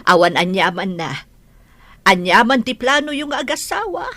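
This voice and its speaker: A woman's voice: a little high, very animated, normal speed, pushed and bright, no room sound, heavily acted. A woman with a Filipino accent playing a supportive, affectionate middle-aged aunt in an audio drama. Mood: affectionate, encouraging.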